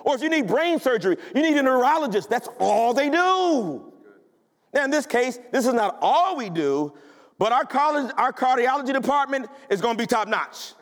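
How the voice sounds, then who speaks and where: Male pastor, very high voice, punchy conversational preaching, church with long echo. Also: sing-song, normal speed. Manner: emphatic, conversational preaching with rhetorical questions. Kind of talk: sermon. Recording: long hall reverb.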